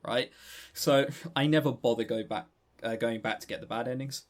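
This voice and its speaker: English male podcast co-host, medium pitch, casual and rambling, stumbles and repeats words. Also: normal speed. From England. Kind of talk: casual discussion and review.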